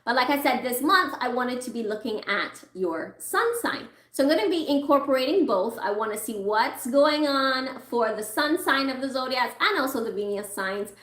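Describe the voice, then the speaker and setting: A woman reading tarot cards for her viewers in a chatty, reassuring way. She is losing her voice and keeps clearing her throat. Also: high-pitched, lively intonation, normal speed, hardly any pauses, a bit bright, roomy sound. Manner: chatty, reassuring, thinks aloud while pulling cards. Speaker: tarot reader.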